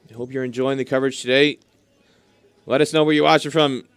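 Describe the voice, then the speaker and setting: A man's voice: mid-range voice, steady tone, quick pace, clean tone, no room sound. A man doing live cricket commentary in an enthusiastic, talkative way, explaining things as he goes. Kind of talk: live sports commentary with chatty asides.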